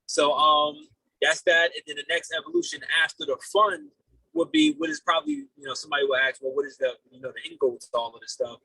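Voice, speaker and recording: A man's voice: fairly high pitch, huge pitch swings, fairly quick, vocal fry, bright, forceful tone, husky, dry recording, poor audio. A man in his late twenties giving casual, earnest interview answers, rambling and repeating his phrases as he goes. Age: late 20s. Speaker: podcast guest, real estate investor.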